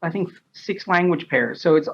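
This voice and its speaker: A man's voice: sits high, normal speed, fairly bright tone. A man speaking as a podcast guest, chatting casually and a little hesitantly about his work as a translator. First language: English. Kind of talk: casual interview anecdotes. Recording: dull lo-fi audio.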